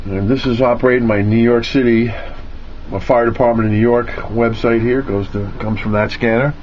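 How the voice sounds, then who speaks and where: A man's voice: mid-range voice, fairly even intonation, moderate pace. Guy showing off his radio stuff, joking around, muffled camera mic with noise in the back. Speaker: radio hobbyist giving a garage tour. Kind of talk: casual off-the-cuff narration of a video tour. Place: cluttered garage and radio room, indoors. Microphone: handheld camera's built-in mic. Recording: muffled handheld camera audio with clear noise.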